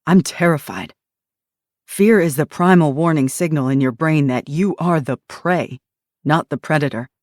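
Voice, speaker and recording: A woman reading a tense, protective man's dialogue with grave, measured urgency. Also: sits low, a little mellow, no echo, clean studio sound. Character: tense protective man, grim and guarded.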